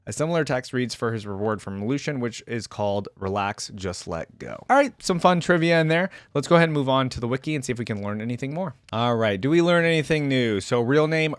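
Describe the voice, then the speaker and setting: A dry, close-sounding recording of a man with a mid-range voice. He hosts a reaction video, reading text aloud and adding casual commentary. His delivery is conversational, as if thinking out loud. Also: mid-range voice, dry recording.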